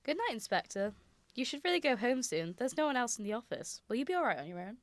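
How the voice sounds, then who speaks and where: An actress playing a concerned, dutiful office assistant in a radio drama, polite and solicitous. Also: female voice, a little high, sing-song, fast talker, dry recording, faint music behind.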